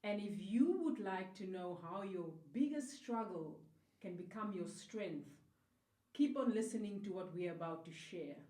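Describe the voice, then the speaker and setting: A woman's voice: mid-range voice, animated, a little slow, dark tone. Upbeat woman host in an echoey room. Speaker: channel host. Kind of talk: interview hosting.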